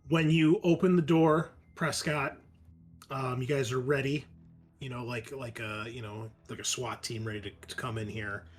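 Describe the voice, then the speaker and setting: A man improvising descriptive, halting narration as the game master of a tabletop roleplaying session. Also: mid-range voice, fairly even intonation, soft background music.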